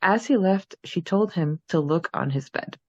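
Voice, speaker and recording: A woman's voice: lowish voice, animated, moderate pace, darkish tone, no room sound, highs cut off. A woman hosting a podcast in a chatty, giggly manner, moving between casual talk and recap. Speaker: podcast host. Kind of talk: casual chat and chapter recap.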